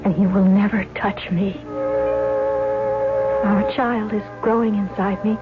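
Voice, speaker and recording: A woman's voice: mid-range voice, steady tone, a little slow, close to an everyday voice. An actress playing a devoted young woman in love, speaking tenderly and earnestly in a radio drama. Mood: tender, pleading. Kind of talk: old-time radio drama acting. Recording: muffled radio recording under loud music.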